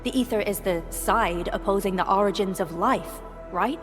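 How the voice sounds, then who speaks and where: A woman voicing a serious, businesslike scientist in charge, in a video game, with a measured manner. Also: medium pitch, natural voice. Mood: serious, thoughtful. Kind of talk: scripted game dialogue. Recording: long reverb on the voice. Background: game music.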